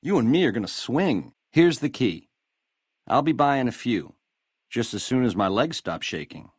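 An actor playing a slick, swaggering casino gangster, cocky and glib, in a video game full of 1950s lounge slang. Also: male voice, medium pitch, sing-song, normal speed, slightly rough, no room sound, clean studio sound. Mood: persuasive, slick.

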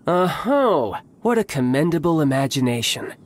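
An actor playing a cocky young warrior envoy in an anime game, smug and mockingly courteous. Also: male voice, fairly high pitch, sing-song, normal speed, slight background noise.

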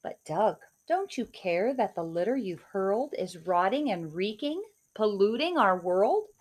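A woman voicing an eager young male recycling superhero in a storybook, speaking brightly and emphatically. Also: mid-range voice, very animated, measured pace.

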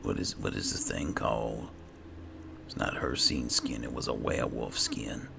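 A man with a gravelly voice giving casual, low-key commentary while playing a fantasy game, musing aloud as he goes. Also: steady tone, normal speed, noisy background. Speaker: gaming host. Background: game ambience.

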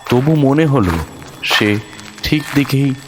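A man narrating a horror thriller audio story in a dramatic, suspenseful way with deliberate pauses. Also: mid-range voice, music in the background.

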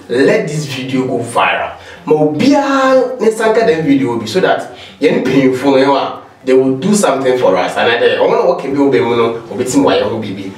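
A man in his thirties with a Ghanaian accent, hosting a casual interview and urging viewers to subscribe. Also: mid-range voice. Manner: relaxed, promotional, asks for subscriptions. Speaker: host. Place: indoor studio before a brick-print backdrop. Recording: echoey room from far off.

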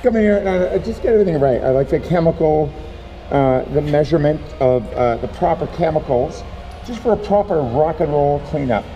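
A middle-aged man at a brewery, joking loudly with mock pride about cleanup. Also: a little high, expressive, moderate pace, soft background music. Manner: mock-proud, loud. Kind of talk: mock-serious work banter. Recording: dull, roomy sound from far off.

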